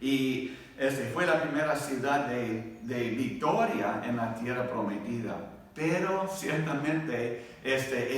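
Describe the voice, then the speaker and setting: A man with a foreign accent, not a native Spanish speaker, teaching a church Bible class in a halting way, searching for words and checking with his listeners. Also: medium pitch. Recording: very echoey room, distant microphone.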